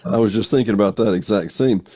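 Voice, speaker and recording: A man's voice: lowish voice, fast talker, mellow, dry recording, studio quality. A man hosting a podcast interview in a casual, chatty way, often interjecting with questions and details.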